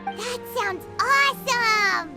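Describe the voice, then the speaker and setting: A woman voicing a tiny, childlike, eager fairy companion in a video game, in a perky, excitable manner. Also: very high-pitched, slow, loud music behind the voice, heavily acted. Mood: amazed. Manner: perky, refers to self in third person. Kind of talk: scripted video game dialogue.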